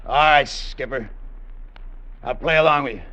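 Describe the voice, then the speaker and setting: An actor playing a scheming sailor gone treasure-mad, in a tense, hard-boiled confessional old-time radio style. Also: male voice, mid-range voice, normal speed, sharp tone, highs cut off, slight background noise, lightly acted. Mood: sly. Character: Danny, scheming treasure-mad sailor turned gunman, confessing his crime. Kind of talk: old-time radio drama acting with first-person narration. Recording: vintage radio broadcast with music.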